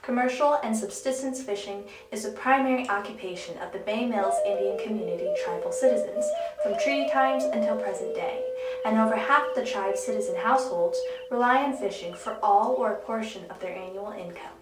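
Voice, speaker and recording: A woman reading a formal statement in steady, scripted narration. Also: medium pitch, a little fast, roomy sound, over loud music, low-quality recording.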